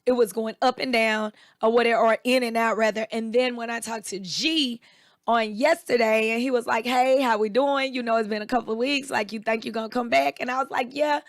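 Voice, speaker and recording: Woman, raspy, slightly hoarse, higher voice, lively storytelling, dry close sound. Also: brisk, dry recording. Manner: animated storyteller, quotes people in raised voices. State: recovering voice, a bit hoarse. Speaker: co-host. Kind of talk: casual chat.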